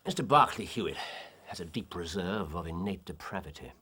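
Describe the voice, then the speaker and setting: An actor playing a shrewd, wry gentleman detective with a British accent, speaking precisely and theatrically in an audio drama. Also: sits low, expressive, brisk, breathy edge, full character voice. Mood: dry, reflective.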